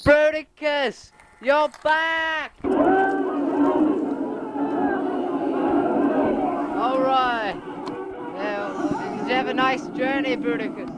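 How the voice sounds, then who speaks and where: A man playing an earnest, fussy afterlife companion with a halting, eagerly explanatory way of talking in an amateur audio drama. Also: very high-pitched, steady tone, over a noisy crowd. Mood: excited welcome. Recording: very noisy lo-fi homemade 1990s recording.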